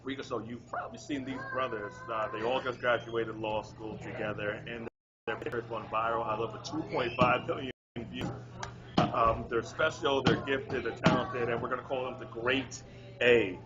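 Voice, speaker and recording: A man's voice: medium pitch, little pitch movement, normal speed, highs cut off, loud music behind the voice. A man hosting a casual interview, upbeat and encouraging, often repeating himself. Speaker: interview host.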